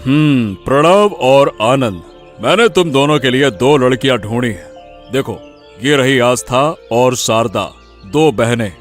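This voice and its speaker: A man voicing a calm middle-aged merchant father in a cartoon story, measured and deliberating. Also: medium pitch, soft background music, lightly acted. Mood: calm, considerate. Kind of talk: dramatized Hindi cartoon story.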